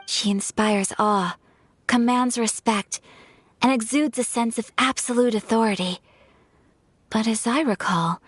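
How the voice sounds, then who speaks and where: Polite formal game noblewoman, slightly high, kinda breathy. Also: dry recording. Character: young noblewoman, composed and courteous. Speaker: noble of a ceremonial commission. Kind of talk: video game voice acting.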